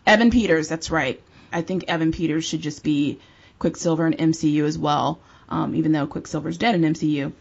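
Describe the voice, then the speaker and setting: A woman's voice: low-pitched, fairly even intonation, brisk, gravelly, husky. A woman giving emphatic, opinionated views in a casual film discussion, as a panelist. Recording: dull, muffled lo-fi audio.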